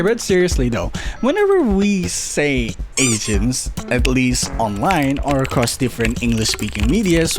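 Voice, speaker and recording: A young man talks in a lively, swooping voice, neither high nor low. He jokes and mocks himself through a casual commentary vlog. Faint music plays softly underneath. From Indonesia. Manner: joking, self-mocking, quick asides with frequent laughs. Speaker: YouTuber.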